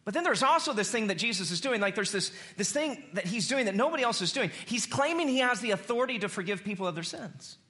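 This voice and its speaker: Guy preacher, high forceful voice, chatty sermon, acts out bits in slang, repeats stuff for punch. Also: quick pace, hardly any pauses. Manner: animated storyteller who acts out dialogue in slang; emphatic, repeats phrases for effect. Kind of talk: conversational sermon to a congregation.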